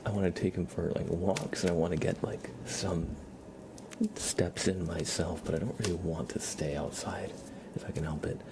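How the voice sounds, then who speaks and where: A man hosting a casual ASMR livestream, chatting to viewers in a soft, breathy, whispery voice with an unhurried, rambling manner. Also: sits low, fairly even intonation, noisy background. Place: room at home with a window.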